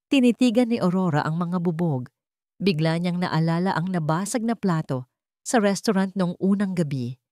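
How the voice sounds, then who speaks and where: Low-voiced woman narrating a drama. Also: darkish tone, no room sound, studio quality. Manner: measured, dramatic storytelling.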